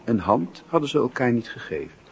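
A man narrating a literary radio drama in a measured, solemn storytelling manner. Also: mid-range voice, sing-song. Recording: noisy old radio drama recording.

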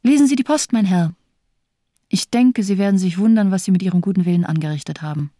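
A woman's voice: mid-range voice, fast, dark tone, close to an everyday voice. An actress playing a bitter, cynical doctor in her thirties in a radio drama, speaking in declamatory, rhetorical phrases. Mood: scornful. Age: 30s. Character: bitter, cynical morphine-addicted woman doctor of 34.

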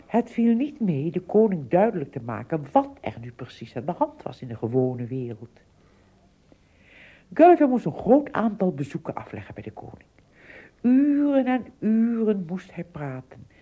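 A woman's voice: on the low side, sing-song, normal speed, slightly rough, muffled recording. A woman narrating a radio drama as a scripted storybook tale.

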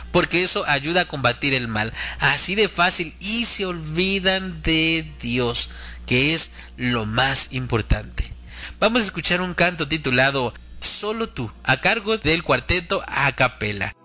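A man with a very bright, forceful voice, slightly high in pitch, gives a religious lecture. He speaks emphatically and didactically, as a preacher explaining things to his listeners. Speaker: religious speaker presenting a talk. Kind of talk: sermon-like lecture to an audience.

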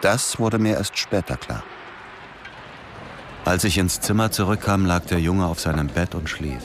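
An actor playing a weary, grieving middle-aged former soldier in a radio drama, speaking in a subdued, understated way. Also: male voice, sits low, a little slow, noisy background. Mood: sober narrating. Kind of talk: radio drama dialogue and first-person narration. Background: music under the voice.

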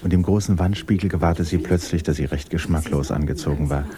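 Deep-voiced male literary narrator. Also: brisk, a crowd in the background. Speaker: narrator of a radio play.

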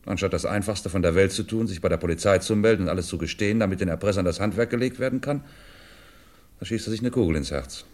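An actor playing a shrewd, understanding French police commissioner in a 1950s radio drama, explaining with deliberate, theatrical emphasis. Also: male voice, low-pitched, darkish tone, natural voice. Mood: explaining, assured.

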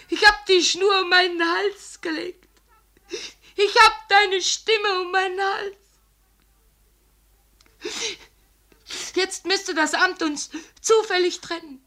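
An actress playing a tender, desperate abandoned mistress in a 1950s German radio drama, halting, tearful and pleading into a telephone. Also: female voice, very high-pitched, pushed and bright, full character voice.

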